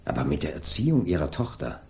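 An actor playing a calm, methodical police inspector in a radio crime drama, questioning in a measured, insistent way. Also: male voice, a bass voice, gravelly, heavily acted. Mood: measured, probing. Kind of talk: 1980s German radio crime drama acting.

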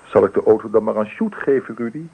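A playful child specialist in a radio play. His lowish voice is gravelly and swoops about, easygoing and coaxing. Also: lively intonation, quick pace, phone-quality sound, full character voice. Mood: genial, reassuring. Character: genial, playful male child specialist on a home visit. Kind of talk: radio drama dialogue.

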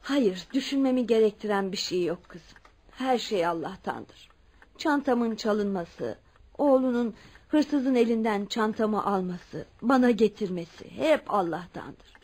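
An actress playing a wealthy, devout elderly woman in a radio drama, speaking in an earnest, measured way. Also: medium pitch, expressive, a little mellow. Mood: resolute, kindly. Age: older. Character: wealthy, generous, devout elderly aunt making her will.

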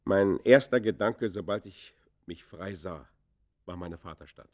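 An actor playing an embittered ex-convict in a radio play, confessing in theatrical, literary that swings from hushed to fierce. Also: male voice, low voice, measured pace. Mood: bitter, anguished. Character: embittered male ex-convict confessing his descent into crime. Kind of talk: German radio play acting, literary monologue.

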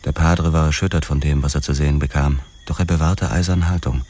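An actor playing a grim, weary male police detective, narrating a hard-boiled crime radio play in a measured way. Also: very deep voice, steady tone, a little hiss or hum. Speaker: first-person narrator. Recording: music loud behind the voice.

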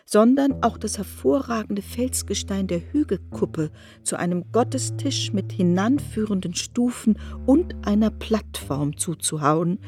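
A woman's voice: medium pitch, mellow. A woman narrating a literary work for radio in a composed, formal manner. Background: faint music.

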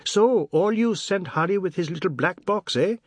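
A man's voice: high voice, big pitch swings, normal speed, breathy edge, no room sound, pitched above the performer's own voice, full character voice. A man reading a novel aloud, voicing an impatient wife who talks bluntly and scolds. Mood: scolding.

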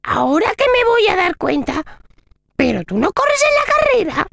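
Squeaky, very high chirpy magpie. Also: female voice, big pitch swings, normal speed, a bit bright, no room sound, clean studio sound, exaggerated voice. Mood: delighted, welcoming. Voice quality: squeaky, creaky. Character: friendly, hospitable female magpie, chirpy and welcoming. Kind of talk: children's audio story acting.

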